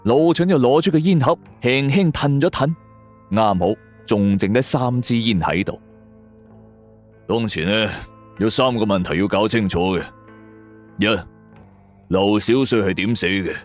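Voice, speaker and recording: A man's voice: fairly low pitch, measured pace, pauses often, faint music behind. A man narrating a suspense story in a colloquial, dramatic storyteller style. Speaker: audiobook narrator.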